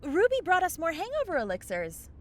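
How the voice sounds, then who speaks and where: High, animated, sweet-talking young woman actress. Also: fairly quick, heavily acted. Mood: pleased. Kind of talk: comedic fantasy audio drama acting.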